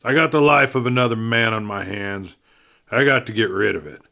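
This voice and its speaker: A man's voice: moderate pace, sharp tone. A man voicing a dying Western outlaw in an audiobook, desperate and sneering, speaking urgently in a rustic cowboy drawl as he gasps out a confession. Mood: urgent pleading. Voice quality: low, breathy. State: gasping, near death. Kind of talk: audiobook character voice.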